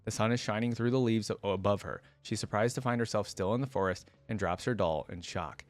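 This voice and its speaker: A man's voice: on the low side, fairly quick, faint music behind. A young man hosting a reaction video, reading story text aloud and commenting in an informal, musing way.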